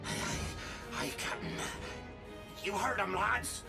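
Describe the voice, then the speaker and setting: A man's voice: sits high, sing-song, slow, gravelly, loud music behind the voice, full character voice. A man voicing a menacing crew leader in a video game, sneering. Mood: commanding. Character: menacing, swaggering male space pirate crew leader.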